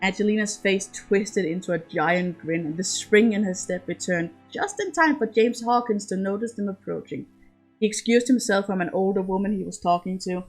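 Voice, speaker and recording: A woman's voice: medium pitch, a little mellow, poor audio. A woman reading a scripted romantic story aloud as an amateur narrator. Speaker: amateur fan fiction reader.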